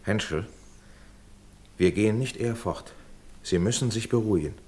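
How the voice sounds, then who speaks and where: An actor playing a dignified, conciliatory gentleman in a radio play, measured, earnest and persuasive. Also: on the low side, lightly acted. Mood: calming, persuasive. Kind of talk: formal German radio play acting. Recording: radio drama with audible background noise.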